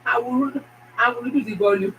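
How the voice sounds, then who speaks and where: A Nigerian-accented woman talks straight to her viewers in a fast, emphatic way, often repeating herself. Her voice sits a little high. She sounds set back from the mic, with faint noise behind her. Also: fairly bright tone, distant mic, poor audio. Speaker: YouTube channel host. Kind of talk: casual motivational talk to viewers.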